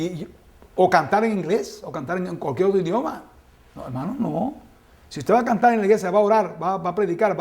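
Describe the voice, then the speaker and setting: A middle-aged man teaches scripture in a high, gravelly voice. He reads a verse, then explains it plainly, often asking the listeners rhetorical questions. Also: darkish tone. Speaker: Bible teacher. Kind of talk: Bible study lecture.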